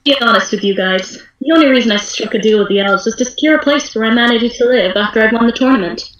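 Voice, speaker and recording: A woman voices a cool young female rival for an amateur anime dub. Her voice is fairly high, formal and condescending. The recording has a clear roomy echo. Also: fast talker, fairly bright tone, muffled recording, a little hiss or hum, close to an everyday voice. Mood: composed, resolute. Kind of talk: amateur anime fandub script reading. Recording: roomy echoing home voice-chat stream.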